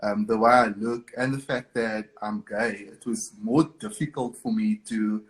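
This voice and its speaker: A man's voice: lowish voice, steady tone, measured pace, low-quality recording. A man from Cape Town with a South African accent, a guest speaking earnestly and encouragingly in a live video interview. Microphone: video call.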